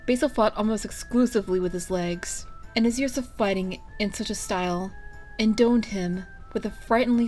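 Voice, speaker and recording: A woman reading a story aloud as its narrator, in an expressive scripted style. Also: medium pitch. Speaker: fan fiction reader.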